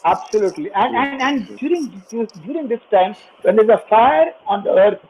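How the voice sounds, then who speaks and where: Host, 60s male, high husky voice, advice-giving moderator. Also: expressive, moderate pace, a little mellow, poor audio. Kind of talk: online panel discussion.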